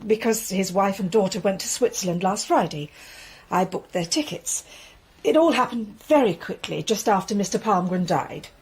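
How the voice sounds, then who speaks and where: A woman playing a brisk, wary, matter-of-fact secretary in a radio drama. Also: mid-range voice, a little mellow, husky. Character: brisk female secretary, crisp, wary but cooperative.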